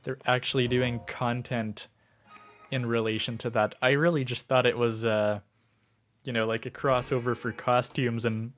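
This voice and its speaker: Sick streamer dude thinking out loud, lots of ums, mid pitch, faint game music behind. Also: male voice, little pitch movement, moderate pace. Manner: rambling, musing aloud with many fillers. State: sick, starting to lose his voice. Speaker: gaming livestreamer. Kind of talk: casual gameplay commentary.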